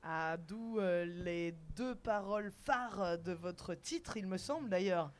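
A woman's voice: lowish voice, takes it slowly. Playful woman hosting on radio. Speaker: radio host, interviewer. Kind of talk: playful live radio interview.

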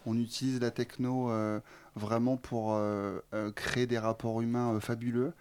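A man as a studio guest on a radio show, enthusiastic and halting with many fillers. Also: mid-range voice, little pitch movement, darkish tone, a little hiss or hum. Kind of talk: radio interview answer.

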